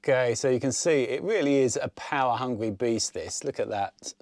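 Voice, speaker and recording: A man's voice: mid-range voice, moderate pace, a little mellow, no room sound, clean studio sound. A man in his fifties giving a casual, informal explanation to camera on a lapel mic, thinking aloud as he goes. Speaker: sailing vlogger.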